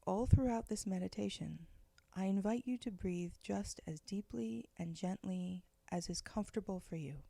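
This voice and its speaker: A woman leading a guided meditation, giving slow, soft, gentle instructions with long pauses. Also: mid-range voice, creaky, no echo.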